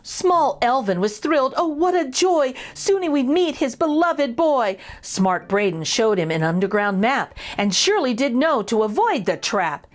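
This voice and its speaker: Expressive woman reading aloud, lively pitch. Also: unhurried, hardly any pauses. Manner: expressive, with asides to young listeners. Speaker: children's story reader and host. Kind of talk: expressive picture book read-aloud.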